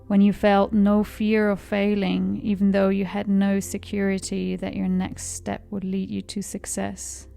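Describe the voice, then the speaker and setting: A woman narrating a guided meditation in a calm, soothing, measured way. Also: medium pitch, vocal fry. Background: background music.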